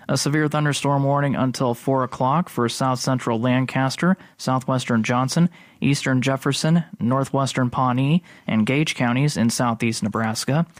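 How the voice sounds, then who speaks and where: A man's voice: mid-range voice, no echo, studio quality. A male radio announcer reading out official weather warnings in a methodical, matter-of-fact way. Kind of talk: read-out list of official warnings.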